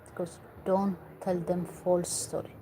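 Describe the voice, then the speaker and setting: A woman's voice: lowish voice, little pitch movement, measured pace, background noise. A woman from Eritrea, earnestly giving parenting advice in English and repeating herself for emphasis. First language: Tigrinya or other Eritrean language. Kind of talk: earnest talk to camera.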